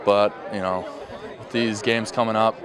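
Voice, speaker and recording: A young man, a college football player, answering postgame questions outdoors in a measured way with filler ums. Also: lowish voice, steady tone. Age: young adult. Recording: crowd chatter outdoors.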